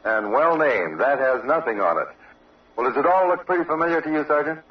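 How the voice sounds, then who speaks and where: A man's voice: a little high, expressive, a little fast. A man working as a radio reporter, conducting an on-location news interview. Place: outdoor observation post. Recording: dull, distant old radio field recording.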